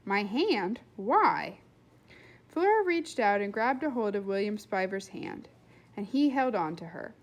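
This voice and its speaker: A woman's voice: mid-range voice, animated, normal speed, a little mellow. A woman hosting a children's read-aloud, telling the story expressively.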